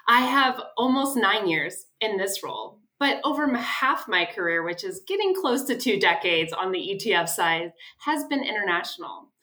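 Bright-voiced professional woman from the American Midwest. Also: medium pitch. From Midwestern United States. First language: English. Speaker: guest, sales executive. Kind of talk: professional self-introduction in an interview.

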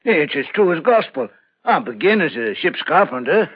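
A man's voice: on the high side, sing-song, moderate pace, slightly rough, full character voice. An actor in a vintage radio drama playing a stout, simple, easily amazed middle-aged tradesman, folksy and exclamatory. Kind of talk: 1940s radio acting. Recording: muffled vintage radio broadcast.

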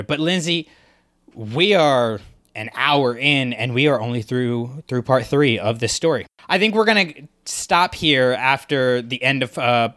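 A man's voice: mid-range voice, fairly bright tone, smooth. A man co-hosting a podcast, talking things through in a rambling, thoughtful way with fillers and restarts. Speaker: podcast co-host. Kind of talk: casual discussion podcast.

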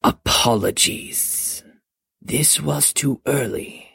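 A woman puts on an ancient male dragon, speaking far below her own voice in a gravelly, breathy growl. He talks slowly and with stern command, like a parent laying down the law. The storybook sound is dry and close. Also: a bit bright, dry recording, full character voice. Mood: solemn. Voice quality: deep gravelly whisper. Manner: slow, commanding, measured. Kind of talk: audiobook character voice.

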